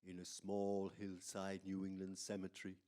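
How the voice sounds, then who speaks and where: A man playing a gleefully macabre horror radio host in an old-time style, with a theatrical, drawn-out, mock-sinister delivery. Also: deep voice, monotone, dark tone, a little hiss or hum, close to an everyday voice. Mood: hushed, ominous.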